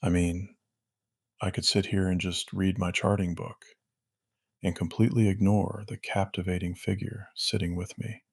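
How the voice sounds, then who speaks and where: Deep, husky flirtatious man, played by an actor. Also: little pitch movement, moderate pace, no room sound, studio quality, exaggerated voice. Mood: teasing. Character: Roman, devoted, flirtatious, smooth-talking male lover. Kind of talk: intimate romantic role-play dialogue.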